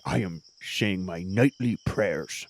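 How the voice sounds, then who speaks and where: A man playing a proud, pious bearded warrior in armor, speaking earnestly and solemnly in a husky voice for a tabletop game. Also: low-pitched, takes it slowly, pitched below the performer's own voice. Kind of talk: tabletop roleplay acting.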